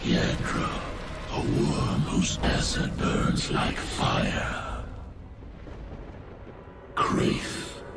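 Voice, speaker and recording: A man voicing an ancient, ominous alien creature in a video game, grave and portentous, with a rasping, processed voice. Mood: grave, urging. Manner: slow, grave, portentous pronouncements. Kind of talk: video game cutscene acting. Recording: loud music behind.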